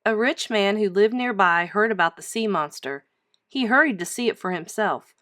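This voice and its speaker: A woman reading a children's storybook aloud like a teacher, with emphatic, expressive storytelling. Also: mid-range voice, moderate pace, no echo, studio quality.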